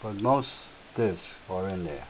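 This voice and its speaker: A man with a slightly raspy voice, hosting a software tutorial and explaining each step in an unhurried way with filler words. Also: sits low, animated. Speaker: tutorial host. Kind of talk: screen-share software tutorial. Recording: tinny lo-fi audio with faint hiss.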